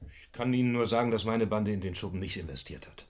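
An actor playing a cornered gangster in a German radio crime drama, bitter and defensive, with terse speech and dramatic emphasis. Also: male voice, lowish voice, fast, natural voice. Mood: guarded.

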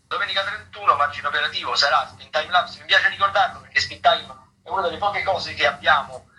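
A man giving an informal radio rundown as a theatre critic, halting and digressive, rephrasing as he goes. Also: a little high, sharp tone. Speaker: radio theatre critic reviewing and listing shows. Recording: distant radio mic with music behind. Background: music.

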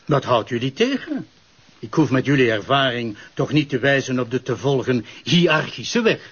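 Prosecutor: authoritative, procedural, slightly rough voice with varied intonation, crime drama. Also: male voice, mid-range voice, big pitch swings, a bit bright, highs cut off. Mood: courteous, businesslike. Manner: formal, measured, authoritative. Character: authoritative male public prosecutor, procedural and unbending. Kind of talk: Flemish television crime drama acting.

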